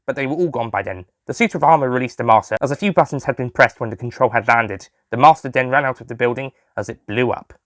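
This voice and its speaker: A man's voice: mid-range voice, fast talker, few pauses, fairly bright tone, no room sound. A man reading a scripted audio story as its narrator. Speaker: writer and narrator.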